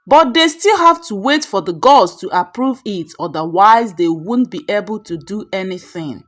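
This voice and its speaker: A Nigerian woman narrates a folktale from a script. Her voice sits fairly high and moves widely up and down in pitch. She phrases the story in a measured, dramatic way, as a storyteller does.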